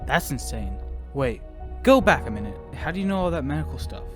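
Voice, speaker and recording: Lively, swooping pitch marks a man playing a cheeky buddy in an audio drama. He talks in slang and loves to tease, easily excited. Loud music runs underneath him. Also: a little fast. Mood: startled curiosity. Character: Jackson, cheeky teasing young male buddy, always hungry.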